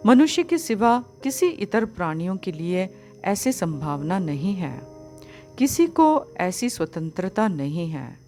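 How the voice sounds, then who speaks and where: A woman reading a spiritual discourse as scripted narration, in a measured, formal, didactic manner. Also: on the low side, darkish tone. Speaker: audiobook reader of a spiritual book.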